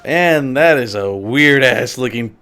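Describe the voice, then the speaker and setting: A man's voice: medium pitch, lively intonation, slow pace, bright, forceful tone, clear, resonant tone. A man hosting a game stream with casual, sweary, exasperated banter. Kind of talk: casual chat. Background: game music.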